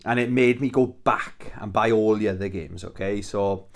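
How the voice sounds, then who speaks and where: A man's voice: lowish voice, normal speed. A Welsh man hosting his own channel, chatting casually and enthusiastically about his games, swearing freely and restarting his sentences. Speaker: YouTube channel host. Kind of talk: casual rambling video chat about his game collection.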